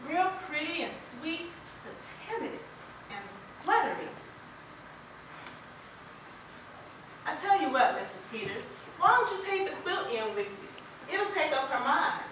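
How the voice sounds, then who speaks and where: Woman acting high, echoey, plain-spoken farm wife. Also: very animated, moderate pace, pushed and bright, heavily acted. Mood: wistful. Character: plain-spoken, regretful farm wife, protective of an absent neighbor. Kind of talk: stage play acting, early 1900s rural American drama. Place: stage performance recorded from audience distance. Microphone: distant camera. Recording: echoey hall from far off, noisy.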